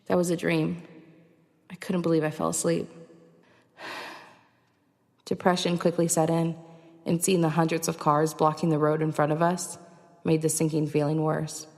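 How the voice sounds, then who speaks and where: A low-pitched female voice with a long reverberant tail. A woman plays a weary, determined survivor of an invasion, telling her own story as audio drama and slipping into dialogue. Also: level tone, measured pace, pauses often. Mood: weary, uneasy. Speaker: narrator and lead actor. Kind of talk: first-person audio drama narration with acted dialogue.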